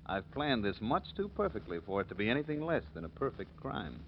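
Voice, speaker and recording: Scheming nephew, old muffled radio recording. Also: male voice, medium pitch, big pitch swings, a little fast, vocal fry, slight background noise. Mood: coldly smug. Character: scheming, cold-blooded nephew turning murderer on his uncle. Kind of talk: 1940s radio acting.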